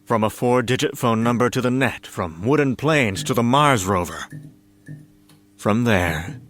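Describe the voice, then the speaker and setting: A man narrating a comic story in a dramatic scripted style, with a wry, deliberate storytelling manner. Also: medium pitch, animated, a bit bright. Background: music.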